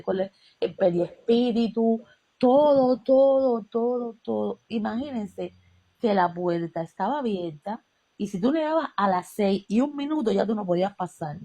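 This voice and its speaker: A woman's voice: medium pitch, vocal fry, mellow, rough recording. A woman from Cuba with a Cuban Spanish accent, telling personal stories in a casual spiritual chat, with fillers and repetitions. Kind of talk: casual conversation about spirituality.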